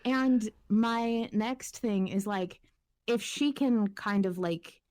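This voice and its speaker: A woman's voice: medium pitch, takes it slowly, a little mellow, dry recording. A woman podcast panelist talking in a casual, informal discussion.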